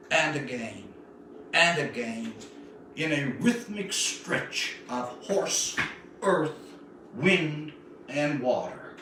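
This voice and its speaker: A man narrates a first-person literary story in a bright, slow voice that swoops expressively through each phrase. His delivery is dramatic and deliberate, like an author giving a reading. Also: on the high side. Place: indoor room, live stream. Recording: echoey room, distant mic, faint noise.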